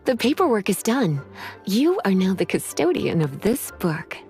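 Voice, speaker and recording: A woman's voice: medium pitch, big pitch swings, normal speed, a little mellow, soft background music, lightly acted. A woman playing a poised, teasing young woman librarian in a video game. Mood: teasing, pleased. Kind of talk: English video game voice acting.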